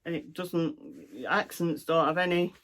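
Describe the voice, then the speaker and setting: A woman's voice: on the low side, little pitch movement, measured pace, a bit bright. A middle-aged woman with a broad Lancashire accent, chatting casually about her cross-stitch projects, with lots of ums and asides. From North West England. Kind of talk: casual show-and-tell chat.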